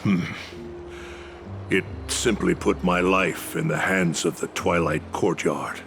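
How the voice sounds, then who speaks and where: An old warrior teacher in a fantasy game. He speaks slowly and formally in a gravelly voice, stern and devoted, over clear music. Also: sits low, steady tone, full character voice. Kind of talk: scripted video game acting.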